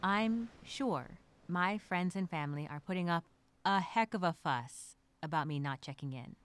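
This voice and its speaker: A woman voicing a resilient, chatty female castaway telling a survival diary, expressive and talking to herself. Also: fairly low pitch, moderate pace, clear, resonant tone, natural voice. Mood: weary, wistful. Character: stranded castaway woman journaling, resilient, chatty, wryly upbeat. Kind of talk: first-person diary storytelling, acted.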